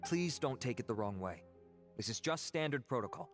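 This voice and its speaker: A man voicing a composed, courteous investigating officer in a video game, measured and official. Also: medium pitch, animated, faint music behind, close to an everyday voice. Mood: polite, calm.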